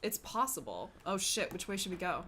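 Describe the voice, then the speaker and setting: Gravelly bright-voiced Canadian woman gamer. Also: fairly low pitch, sing-song, slight background noise. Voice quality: gravelly today. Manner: playful, joking, quick reactions. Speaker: let's play host. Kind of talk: casual gaming chat.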